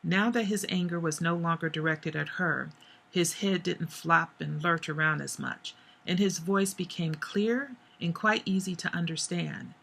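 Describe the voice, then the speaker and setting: A hoarse woman, an author, casually reading her own novel aloud, chatty with pauses. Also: on the low side, a bit bright.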